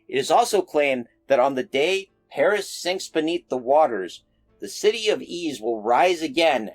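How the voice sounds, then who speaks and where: A man hosting an informal explainer video, speaking in a conversational, self-deprecating way. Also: mid-range voice. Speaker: YouTube host of mythology and folklore videos.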